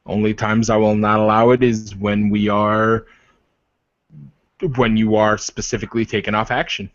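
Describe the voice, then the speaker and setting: A man's voice: fairly low pitch, little pitch movement, close to an everyday voice. A man playing a protective, proud werewolf warrior leader in a tabletop game, speaking in emphatic declarations. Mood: insistent, reassuring. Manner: slow, emphatic, declarative speech. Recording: roomy, dull lo-fi microphone.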